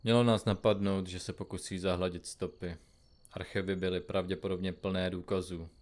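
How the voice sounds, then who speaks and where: A man plays a stoic, grumbling male detective in a comedy radio play. His voice is low and his delivery is dry and deadpan, that of a confirmed grouch. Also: close to an everyday voice. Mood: weary, grumpy. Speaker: detective in a comedy mystery podcast. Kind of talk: audio drama acting.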